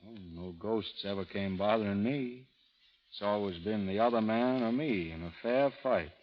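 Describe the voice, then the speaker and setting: A man playing a stubborn, quietly dangerous frontier gunfighter in an old radio drama, speaking in terse, folksy phrases. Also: lowish voice, normal speed, lightly acted. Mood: quiet, weary. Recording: muffled old radio recording with music.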